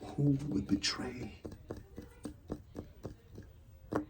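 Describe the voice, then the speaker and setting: A man speaking softly in an ASMR roleplay as an art gallery dealer, pausing mid-phrase and often slipping into a whisper. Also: distant mic, background noise. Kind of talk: soft-spoken ASMR roleplay, partly whispered.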